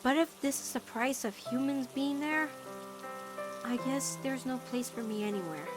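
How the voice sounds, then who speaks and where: A woman voicing an earnest, emotional teenage girl weighed down by guilt, in an animated-style comic dub, speaking haltingly. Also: high voice, music in the background, natural voice. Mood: despondent. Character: guilt-ridden, self-blaming teenage human girl.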